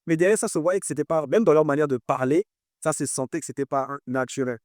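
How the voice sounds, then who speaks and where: A young man with a French accent talks in a relaxed, mellow tone, his voice set a little higher than most men's. He leans on repeated phrases to drive his points home. The sound is close and dry. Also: fast, no echo. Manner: animated, repeats phrases for emphasis. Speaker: guest artist and producer. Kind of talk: casual interview chat.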